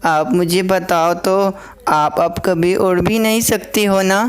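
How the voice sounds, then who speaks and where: A woman's voice: fairly low pitch, slow pace, clean tone. A woman voicing a dutiful, curious young girl sparrow in a cartoon story, earnest and questioning. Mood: curious, concerned.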